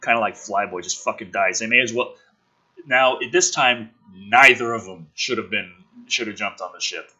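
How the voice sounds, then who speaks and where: Raspy, forceful, sardonic man. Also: lowish voice, moderate pace, muffled recording. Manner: sardonic, sweary, exasperated asides. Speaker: host reading and critiquing a film script draft. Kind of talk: dramatic script read-aloud with casual profane commentary.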